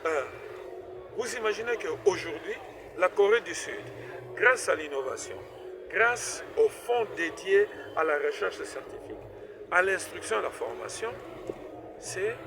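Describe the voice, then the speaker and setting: A man's voice: high voice, expressive, unhurried, over music. A middle-aged man from Congo, a professor and inventor, answering interview questions with many fillers and stuttered repeats. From Democratic Republic of the Congo. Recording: noisy event recording with vehicle sounds.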